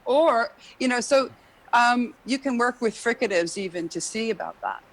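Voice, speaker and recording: A woman's voice: on the high side, expressive, moderate pace, rough recording. Woman explaining singing technique on a call. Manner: explains at length with frequent "you know". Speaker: guest jazz singer and vocal coach. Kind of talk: casual expert chat.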